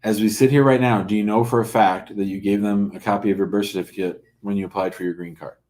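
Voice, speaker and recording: A man with a low-pitched voice hosts a call-in advice show. He speaks conversationally and informally, probing for detail and telling callers bluntly where they stand. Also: steady tone, fast talker, a little mellow. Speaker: host taking callers' immigration questions.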